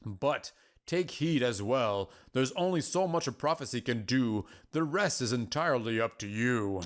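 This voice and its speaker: A man performs a solemn keeper of prophecy for a fantasy story. He is patient and cryptic, choosing formal, old-fashioned words and setting them out in a measured way. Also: medium pitch, animated, close to an everyday voice. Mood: firm, reassuring. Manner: measured, formal, archaic phrasing.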